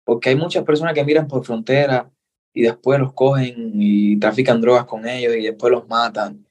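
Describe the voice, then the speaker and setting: A man with a Cuban accent talks as an interview guest. His voice sits at an ordinary middle pitch. He speaks earnestly, repeating phrases and dropping in friendly asides. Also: steady tone, normal speed, a little mellow. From Cuba. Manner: earnest, repeats himself, says brother. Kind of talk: casual interview.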